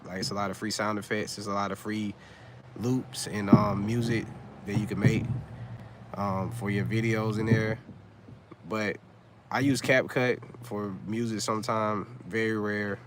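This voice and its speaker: A man's voice: fairly low pitch, flat delivery, unhurried, background noise. A man in his thirties chatting casually on a livestream, laid-back and rambling, full of fillers and slang. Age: 30s. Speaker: vlogger on a livestream.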